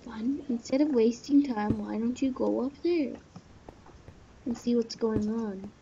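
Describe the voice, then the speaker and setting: A gamer chatting casually in character during a Minecraft roleplay. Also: measured pace. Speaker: player in a gaming video. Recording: muffled low-grade mic with loud noise.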